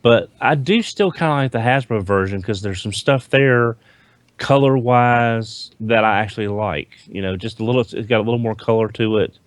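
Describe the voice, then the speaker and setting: A man in a casual panel chat, speaking in a halting way and repeating words for emphasis. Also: medium pitch, dry recording. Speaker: podcast panelist.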